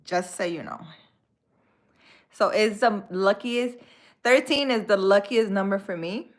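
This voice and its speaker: A woman's voice: medium pitch, measured pace. A woman from the Republic, chatting casually with viewers on a live stream, laughing often and slipping in Spanish words. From Dominican Republic. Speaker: live-stream host, spiritual healer.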